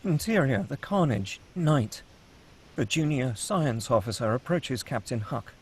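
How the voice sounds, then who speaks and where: A man's voice: medium pitch, lively intonation, unhurried, a little hiss or hum. A man reading screenplay action and scene headings as the narrator of a table read.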